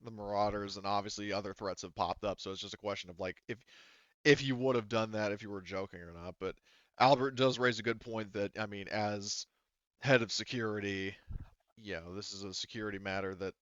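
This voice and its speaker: A man running a tabletop roleplaying game, explaining casually and haltingly as he thinks aloud. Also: lowish voice, fairly even intonation, fairly bright tone, dry recording, dull audio. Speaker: game master.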